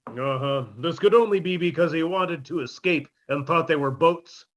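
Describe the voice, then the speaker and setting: A man's voice: a little high, moderate pace, muffled recording, close to an everyday voice. A man playing a sardonic, heckling boarder who makes dry wisecracks in a radio comedy. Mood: sardonic, needling. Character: sardonic male wisecracking boarder called Maestro. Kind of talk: 1950s radio comedy acting.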